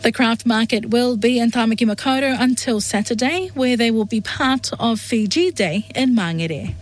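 A woman reading radio news bulletins in a steady, formal way. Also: fairly high pitch, normal speed. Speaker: news presenter.

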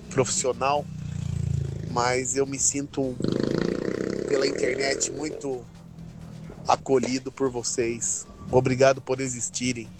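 A man from São Paulo with a local Brazilian accent, speaking casually as a listener sending greetings in a voice message. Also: mid-range voice.

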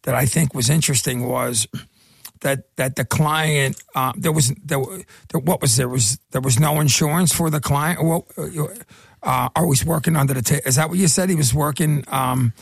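A man co-hosts a talk show in a gravelly, husky voice, pitched a little high. He is jokey and chimes in often, stumbling and restarting his sentences. The sound is dry, with no room around it. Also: moderate pace, dry recording. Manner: jokey, stammers and restarts a lot. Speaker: co-host. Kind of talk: casual talk-show chat.

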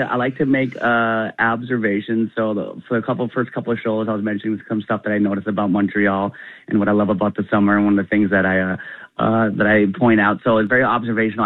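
A comedian talking fast and animatedly during a phone interview, laughing often. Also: male voice, on the low side, dry recording. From Canada. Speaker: comedian guest. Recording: tinny phone line.

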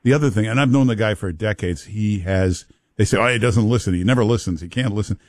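Man, radio talk host, low-pitched, dry close mic, joking, brash. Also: fast talker, darkish tone, no room sound. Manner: brash, joking banter with callers.

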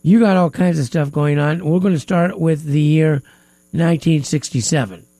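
A man hosting a live music talk radio show in a studio, sounding stuffy-nosed and pausing often with fillers. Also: fairly high pitch, mellow, dry recording. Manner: hesitant, many filler pauses. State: stuffy nose. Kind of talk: casual talk radio chat.

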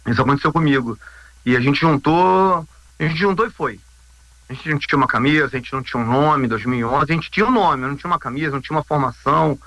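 A man's voice: fairly high pitch, bright, forceful tone, no room sound, rough recording. A man in his fifties with a Rio de Janeiro Brazilian accent, speaking informally as an interview guest, repeating phrases and adding tag questions. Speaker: interview guest, volunteer rescue group founder.

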